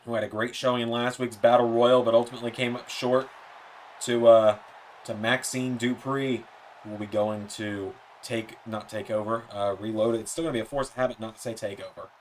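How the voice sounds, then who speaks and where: A man doing play-by-play commentary for a wrestling video game, his voice a bit hoarse and softer than usual, with slips and self-corrections. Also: mid-range voice, slight background noise. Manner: rapid play-by-play, rising excitement on near-falls.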